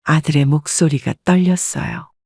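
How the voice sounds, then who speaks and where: A woman in her seventies calmly narrating a reflective first-person life story. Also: deep voice, normal speed, slightly rough, no room sound, clean studio sound. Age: 70s. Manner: calm, reflective.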